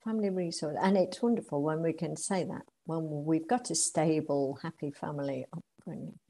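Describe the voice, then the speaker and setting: A woman hosts a podcast and puts encouraging, guiding questions to her guest. Her voice sits a little low for a woman. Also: fairly even intonation, normal speed, mellow. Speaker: podcast host. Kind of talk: interview questions.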